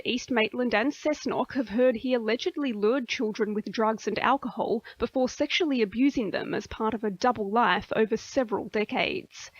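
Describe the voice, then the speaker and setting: A woman's voice: on the high side, no room sound, muffled recording. A woman with an Australian accent reading a scripted radio news bulletin. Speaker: news reader.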